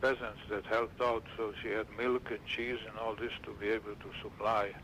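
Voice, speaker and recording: Man, 70s, from Romania, low monotone voice, halting, searching for words, interviewee. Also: a little hiss or hum, poor audio. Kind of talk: recalling childhood memories.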